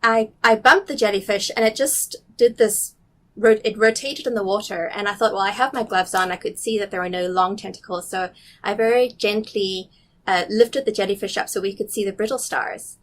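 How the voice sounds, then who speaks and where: A woman's voice: medium pitch, steady tone, normal speed. Enthusiastic woman with a blended accent. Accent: blended international, slight Canadian. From South Africa. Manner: enthusiastic, curious, explains carefully with small restarts. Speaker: guest, nature recorder. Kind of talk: casual interview chat. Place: restaurant or office in a city.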